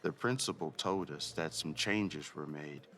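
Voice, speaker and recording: A man's voice: very deep voice, a little slow, a bit bright, soft background music. A man hosting a read-aloud for children, narrating expressively and speaking directly to his young listeners.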